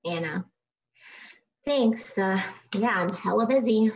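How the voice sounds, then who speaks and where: A woman's voice: medium pitch, slow. A young woman playing a guarded, unimpressed artist, recorded over muffled video call audio.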